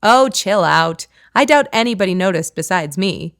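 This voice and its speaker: A woman's voice: mid-range voice, animated, moderate pace, a bit bright, no room sound, clean studio sound. A woman voicing a teasing, goading woman friend in an audiobook. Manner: playful, goading.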